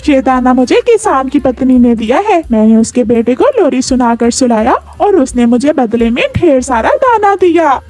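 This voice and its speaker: A woman's voice: sits high, animated, normal speed, few pauses, warm tone, no echo, soft background music. A woman voicing a kind, helpful mother sparrow in a children's story, with a sweet, soothing manner. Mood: gentle, helpful. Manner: sweet, soothing lullaby singer.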